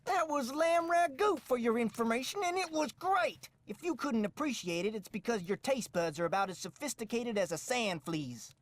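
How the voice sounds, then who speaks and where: A woman's voice: on the high side, animated, normal speed, hardly any pauses. A woman playing a huffy, self-important ranch cook in a video game, speaking in a theatrical, indignant and pompous way.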